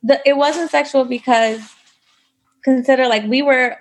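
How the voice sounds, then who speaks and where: A woman's voice: sits high, fairly even intonation, a little slow, a crowd in the background, rough recording. A woman telling her own story in a casual interview, chatty, full of likes and you knows. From United States. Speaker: guest.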